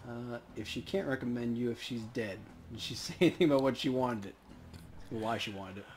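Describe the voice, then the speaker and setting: A man's voice: mid-range voice, brisk, darkish tone. A man playing a video game as co-host, chatting casually in a laid-back, slangy, sometimes profane way. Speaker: let's play player and co-host. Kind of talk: casual gamer chat.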